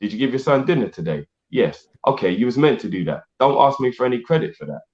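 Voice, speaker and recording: A man's voice: mid-range voice, sing-song, fast, a little mellow. A man co-hosting a casual fan podcast, emphatic and defensive, repeating himself to make his point. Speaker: co-host. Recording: dull lo-fi stream audio.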